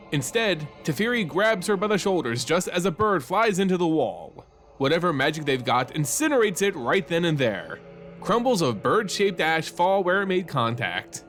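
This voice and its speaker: Guy doing scripted story narration, kinda high voice, really lively up and down, dramatic, music behind him. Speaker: host and story narrator of a fan lore channel. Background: music under the voice.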